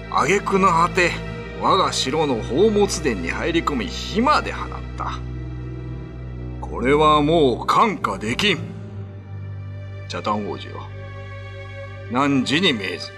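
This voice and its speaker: A man's voice: mid-range voice, sing-song, slow, pauses often, over music. A man playing a troubled, resolute Ryukyuan king in a radio drama, speaking in stately, archaic and commanding phrases. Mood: angry, commanding. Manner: stately, archaic, imperious speech.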